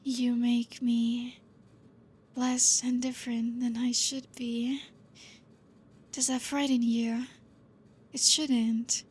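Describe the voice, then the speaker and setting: A woman playing a breathy, possessive vampire teacher. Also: a little high, flat delivery. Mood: tender possessive. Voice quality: soft, breathy, half-whispered. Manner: slow, intimate, gently teasing. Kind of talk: ASMR roleplay.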